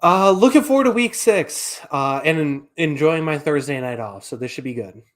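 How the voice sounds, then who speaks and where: A man in his twenties co-hosting a casual podcast, giving relaxed, dry opinions. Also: a little high, normal speed. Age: 20s. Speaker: podcast co-host.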